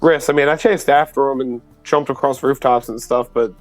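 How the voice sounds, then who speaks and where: A man's voice: mid-range voice, steady tone, moderate pace, soft background music. A man playing a tabletop roleplaying game, talking casually and a bit tentatively. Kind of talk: casual in-character roleplay chat.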